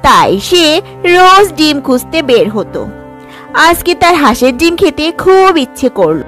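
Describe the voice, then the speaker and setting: A woman's voice: high voice, big pitch swings, moderate pace, over music. A woman narrating a scripted children's fairy tale as a storyteller.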